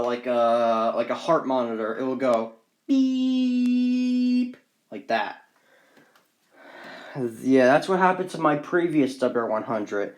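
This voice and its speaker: Guy showing off his collection, mid voice, rambly casual talk, filmed on phone. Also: medium pitch. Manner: rambling, unscripted, matter-of-fact. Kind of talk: casual off-the-cuff show-and-tell. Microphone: handheld phone.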